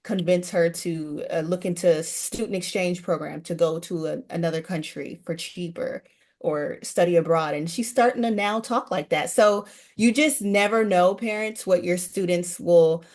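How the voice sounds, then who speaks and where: Woman talking about her language program, kinda low voice, earnest and chatty. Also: moderate pace, darkish tone. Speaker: language program founder, guest. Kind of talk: casual interview chat.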